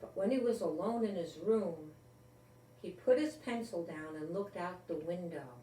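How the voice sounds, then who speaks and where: An older woman, a grandmother storyteller, reading a children's book aloud in a deliberate, expressive manner. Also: on the low side, slight background noise. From Rhode Island, United States. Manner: deliberate, expressive, with repeated emphasis on key words. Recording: roomy, echoey, distant from the mic.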